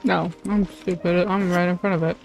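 A woman's voice: lowish voice, level tone, moderate pace, creaky, a little mellow. A young adult woman chatting casually on a game livestream, scattered and muttering to herself. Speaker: streamer. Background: faint game music.

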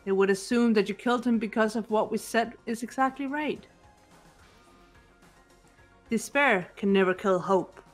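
A woman voicing a cool, composed teenage girl detective in a game, measured and challenging. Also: fairly high pitch, faint music behind, lightly acted. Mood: firm, challenging. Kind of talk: character voice acting in a game fan dub.

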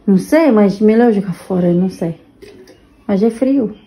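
A woman's voice: mid-range voice, moderate pace, dark tone. A woman chatting casually in short interjections and questions, as a companion to a home cook. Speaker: companion helping off camera. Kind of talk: casual chat. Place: home kitchen, indoors.

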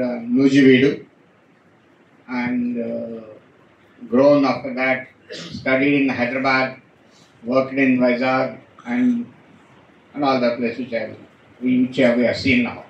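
A man's voice: mid-range voice, a bit bright, lo-fi sound. A middle-aged man with an Indian accent giving a commemorative speech at a podium as a guest speaker. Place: auditorium, indoors. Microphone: podium microphone, recorded from far camera. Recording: echoey hall from far off, noisy.

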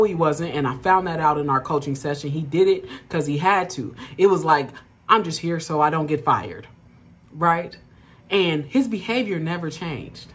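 Animated woman with a low voice. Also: a little hiss or hum. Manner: animated, addresses viewers as y'all, rising emphatic. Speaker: diversity consultant. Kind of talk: storytelling monologue to camera. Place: indoor living room at home. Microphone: webcam at arm's length.

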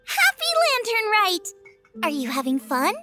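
A woman voicing an energetic, friendly teenage girl in a video game, with a chirpy, eager, girlish delivery. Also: very high-pitched, measured pace, loud music behind the voice, full character voice. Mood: cheerful, chatty. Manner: chirpy, enthusiastic. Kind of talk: video game cutscene acting.

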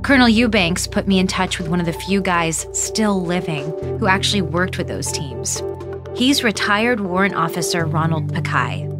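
A young woman playing an excitable, starstruck podcaster, narrating an audio drama in a chatty, informal way. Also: fairly low pitch, natural voice. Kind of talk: casual first-person audio drama narration. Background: music.

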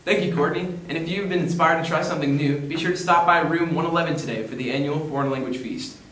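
A man's voice: fairly high pitch, fairly bright tone, roomy sound, recorded from a distance, low-quality recording. A teenage boy anchoring a school news show at a studio desk, reading a script. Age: teens. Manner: brisk read-aloud delivery.

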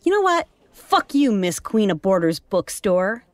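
An actress playing a brash, self-important aspiring author in a comedy audio drama, bossy and pushy. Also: female voice, medium pitch, very animated, unhurried, no room sound. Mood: offended.

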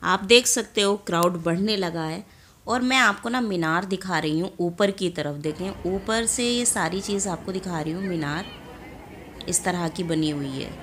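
A woman's voice: on the low side, normal speed, fairly bright tone. A young woman chatting to her viewers as she films a casual travel vlog on a phone held at arm's length, outdoors in a big courtyard. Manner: chatty, addresses viewers directly. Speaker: vlogger. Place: outdoors in a large mosque courtyard. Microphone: phone camera held at arm's length. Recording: noisy outdoor phone recording.

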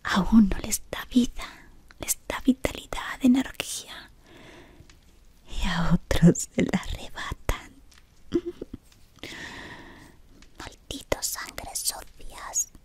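A woman in her thirties playing a scheming, devoted female servant of a dark wizard, whispering close to the mic in a dim room. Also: on the high side, animated, drawn-out words, pauses often, breathy edge, no echo, exaggerated voice. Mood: sinister devotion. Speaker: ASMR roleplayer. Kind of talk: whispered ASMR roleplay.

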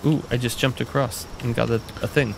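A man playing a game with a friend, curious and excitable, asking lots of questions. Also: mid-range voice, brisk, gravelly, slight background noise. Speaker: co-player. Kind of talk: casual gameplay chat.

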